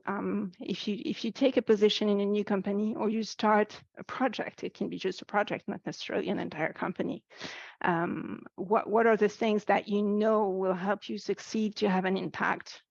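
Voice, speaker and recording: A woman with a gravelly, creaky voice leads a participatory online workshop. Her delivery is flat and level, measured and inviting, with small restarts as she hands the floor to the group. The sound is dry and dull, like a lo-fi video call. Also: mid-range voice, no room sound. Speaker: workshop facilitator.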